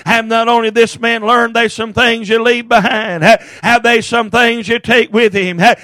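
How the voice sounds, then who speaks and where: A man preaches with a very high, strained voice. He chants short phrases, breaking each with a rhythmic gasped "uh, uh," and often rises to a shout. The recording is dry and close. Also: level tone, normal speed, no room sound. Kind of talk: chanted hellfire sermon.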